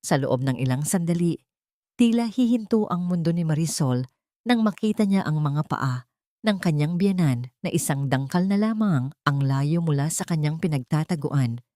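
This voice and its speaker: Low-pitched woman narrating a dramatic story. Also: lively intonation, normal speed, warm tone, no echo, clean studio sound.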